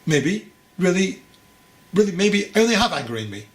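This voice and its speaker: A man's voice: sits high, sing-song, moderate pace, a bit bright, roomy sound, voice pushed up. A man voicing a resentful, fragile young novice boy in a storybook, complaining emphatically. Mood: agitated, bitter. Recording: clearly noisy recording.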